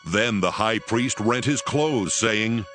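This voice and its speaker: A man with a fairly low voice narrates a dramatized scripture. His delivery is solemn and scripted, with music playing clearly behind him. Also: takes it slowly, a bit bright. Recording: background music under the voice.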